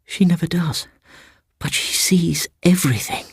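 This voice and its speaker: A man's voice: fairly high pitch, a little slow, gravelly, slightly rough, dry recording, clean studio sound, voice pushed up, full character voice. A man as a nervous, furtive English schoolboy. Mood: wary.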